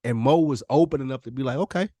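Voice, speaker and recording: A man's voice: mid-range voice, fast, dark tone, no room sound, studio quality. An American man co-hosting a casual podcast, talking earnestly and repeating phrases for emphasis. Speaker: podcast co-host.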